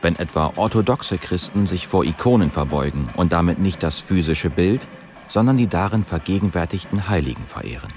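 A man's voice: low-pitched, lively intonation, fairly quick, darkish tone, slight background noise. A man reading scripted narration for a radio documentary.

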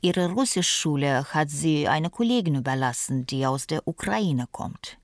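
A woman reading scripted feature narration as a reporter, explaining things in a measured way. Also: sits low, animated, no room sound, clean studio sound.

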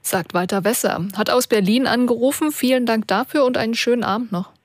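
Woman radio host, fairly high, dry studio. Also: fairly quick, dry recording. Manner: questioning, with hesitant fillers. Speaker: radio talk show host. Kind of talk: moderating a call-in show.

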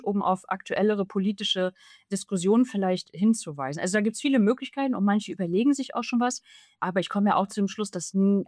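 A woman in her thirties, an author answering interview questions in long, animated run-on sentences. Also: medium pitch, no room sound, studio quality. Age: 30s.

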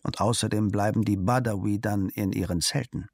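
A man's voice: lowish voice, steady tone, normal speed, creaky, darkish tone, no room sound. A man reading a literary travel diary as scripted narration. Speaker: audiobook narrator.

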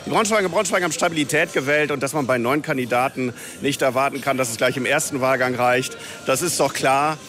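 Mayor guy, confident, bit halting, faint music behind, outside. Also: medium pitch, fairly bright tone. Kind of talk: interview soundbite.

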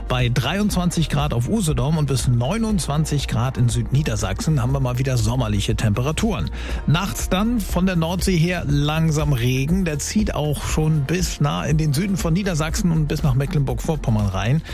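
A man's voice: fairly high pitch, a little mellow. A man presenting on the radio in a chatty, informal way. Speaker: radio host. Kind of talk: casual radio presenting, weather and traffic. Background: music bed.

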